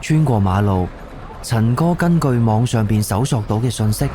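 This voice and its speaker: A man's voice: lowish voice, animated, moderate pace, dark tone, a little hiss or hum. A man with a Cantonese accent narrating a scripted audio drama as its storyteller.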